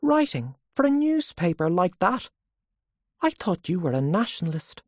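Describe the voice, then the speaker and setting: A narrator voicing a sharp, teasing young nationalist woman with a challenging, questioning manner, in an audiobook story. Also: medium pitch, very animated, moderate pace, dry recording, clean studio sound, pitched above the performer's own voice. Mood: accusing.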